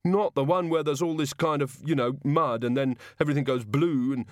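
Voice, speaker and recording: A man's voice: a little high, brisk, dry recording, clean studio sound, voice pushed up, lightly acted. A man voicing a frightened, naive guard who rambles on in an audiobook. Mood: anxious babbling. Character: terrified, babbling, naive male guard.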